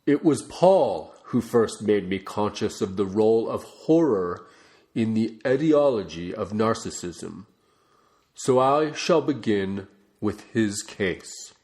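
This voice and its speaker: A man reading a non-fiction book aloud as a narrator, with measured, deliberate phrasing. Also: on the low side, lively intonation, a little mellow. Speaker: audiobook reader.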